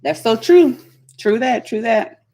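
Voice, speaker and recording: A woman's voice: on the high side, lively intonation, a little slow, vocal fry, mellow, a little hiss or hum. A middle-aged woman, chatting casually with her viewers and laughing often. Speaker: vlogger. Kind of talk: casual live chat with viewers.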